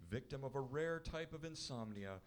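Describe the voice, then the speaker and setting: A man's voice: mid-range voice, lively intonation, moderate pace. A man hosting an old-time radio comedy show with theatrical, mock-grave scripted narration. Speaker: announcer and host.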